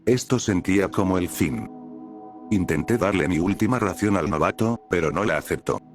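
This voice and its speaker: Deep-voiced man narrating horror stories. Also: normal speed, soft background music.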